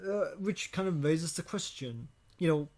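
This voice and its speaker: Halting man hosting a casual podcast. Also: fairly high pitch, animated, a little mellow. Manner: halting, stammering, repeats words. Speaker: podcast host.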